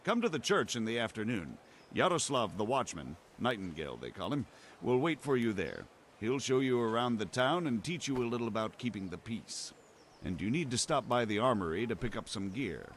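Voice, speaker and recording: An actor playing a measured, authoritative town bailiff in a medieval video game. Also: male voice, medium pitch, sing-song, a little hiss or hum, lightly acted.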